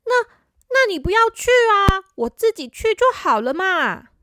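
A woman voicing an excitable little boy in a children's story, eager and a bit whiny. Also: very high-pitched, measured pace, no room sound, clean studio sound, voice pushed up, heavily acted. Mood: pleading.

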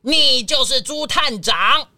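A woman playing a vain, excitable rich male tycoon in an audio drama, bossy and melodramatic. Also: high-pitched, takes it slowly, creaky, dry recording, heavily acted. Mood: indignant, distraught.

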